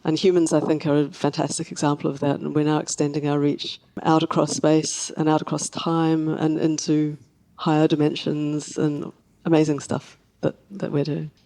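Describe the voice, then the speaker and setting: A woman speaking as a scientist guest in an interview, explaining things in a measured way. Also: low voice, little pitch movement, dark tone, poor audio.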